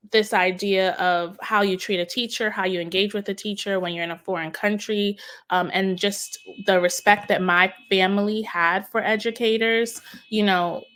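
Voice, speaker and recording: A woman, a guest educator, telling her story in an interview in a reflective, searching way, often pausing and restarting phrases. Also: mid-range voice, fairly bright tone. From United States, of Haitian parents.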